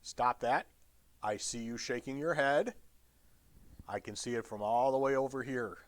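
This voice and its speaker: A man giving an instructional lecture as a trainer, blunt and direct with wry humor and emphatic pauses on key phrases. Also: medium pitch, no room sound. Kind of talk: instructional lecture on radio procedure.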